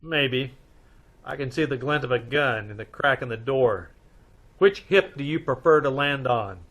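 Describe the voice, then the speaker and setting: A man's voice: medium pitch, expressive, measured pace, a bit bright. A man playing a dry, wisecracking, level-headed married detective in old-time radio style, wry and matter-of-fact. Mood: cautious.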